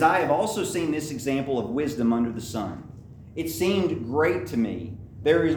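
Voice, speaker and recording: Middle-aged pastor preaching from afar. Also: male voice, darkish tone. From Lebanon, Tennessee area. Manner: storytelling, rises in pitch for emphasis, frequent restarts. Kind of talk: sermon. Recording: echoey room from a distant mic, faint noise.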